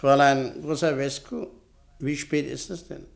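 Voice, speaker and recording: A man's voice: mid-range voice, fairly even intonation, moderate pace, gravelly, soft background music, lightly acted. An actor playing a domineering, suspicious and impatient businessman with a menacing edge in a German radio play. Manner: curt, commanding, indignant.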